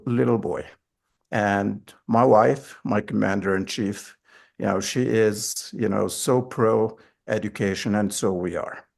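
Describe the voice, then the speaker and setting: Gravelly man, earnest. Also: on the low side. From Morocco. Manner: earnest storytelling. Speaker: school board candidate. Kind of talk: interview answers.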